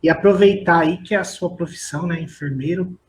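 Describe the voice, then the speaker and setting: Brazilian man host, somewhat high voice. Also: fairly quick, a little hiss or hum. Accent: Brazilian Portuguese. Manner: loose, heavy on fillers "aí" and "né". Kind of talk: live interview chat.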